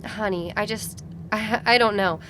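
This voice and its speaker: A woman's voice: medium pitch, a little slow, a bit bright, natural voice. A woman acting in an audio drama as an anxious, pleading, loving young fiancée. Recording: noisy vehicle ambience.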